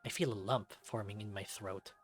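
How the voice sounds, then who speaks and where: A man's voice: on the low side, steady tone, normal speed. A man reading first-person narration from a visual novel as a scripted read. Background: music.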